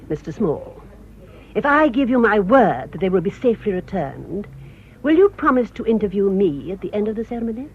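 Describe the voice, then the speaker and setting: An indignant, lineage-proud British spinster in a radio play. She speaks imperiously and with emphatic accusation, in a medium-pitched voice. The sound is a little muffled. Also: big pitch swings, a little fast, breathy edge. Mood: indignant, haughty. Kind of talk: British radio drama acting. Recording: muffled radio drama recording.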